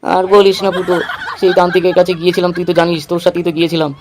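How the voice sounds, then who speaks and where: A man's voice: sits high, flat delivery, very fast, darkish tone, slight background noise, lightly acted. A man voicing a gullible, earnest bald buffoon in a comic cartoon. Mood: plaintive.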